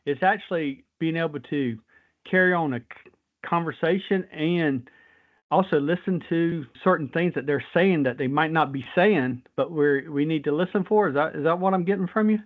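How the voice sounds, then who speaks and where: A man's voice: fairly high pitch, moderate pace. A man hosting a casual interview in a folksy, rambling conversational way over a tinny phone-like line. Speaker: guest host, former patrol officer.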